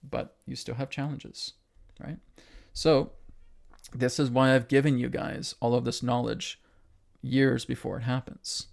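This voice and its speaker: Male spiritual host, mid pitch, creaky, emphatic and repetitive lecture delivery. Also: a little mellow. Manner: emphatic, repetitive, asks rhetorical questions and tags "right?". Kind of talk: spiritual lecture to an online audience.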